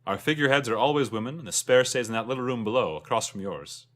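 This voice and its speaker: A man's voice: medium pitch, quick pace, a bit bright, natural voice. A man voicing a confident, commanding riverboat captain in a fantasy story, with emphatic delivery. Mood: matter-of-fact.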